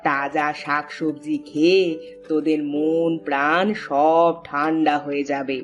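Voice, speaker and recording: A kindly mother, voiced by a woman, speaks softly and reassuringly in a low voice. Soft music plays in the background. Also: flat delivery, takes it slowly. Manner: gentle, reassuring. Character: kindly middle-aged mother, soothing.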